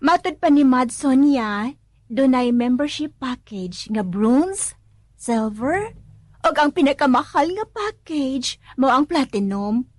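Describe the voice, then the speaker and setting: A woman's voice: high voice, no room sound. A woman playing an eager, coaxing, giggly wife in a Filipino radio drama, speaking with a Filipino accent. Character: eager, pleading wife keen on a beauty-product networking scheme.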